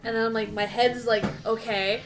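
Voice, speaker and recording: A woman's voice: on the high side, level tone, moderate pace, music in the background, rough recording. A woman playing a tabletop game, thinking aloud about what happened. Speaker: player. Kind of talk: casual chat.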